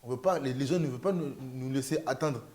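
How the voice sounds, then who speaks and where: A man interviewing a guest in a casual, lively way, pushing his points with rising emphasis and a few stumbles. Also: mid-range voice, fast talker.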